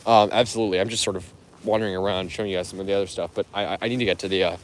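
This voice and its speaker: A man's voice: deep voice, steady tone, racing, slight background noise. A man hosting a live stream from public gardens outdoors, chatting with viewers in a rambling, friendly way full of fillers and restarts. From United States. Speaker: live-stream host answering chat. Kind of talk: casual live-stream chat while walking.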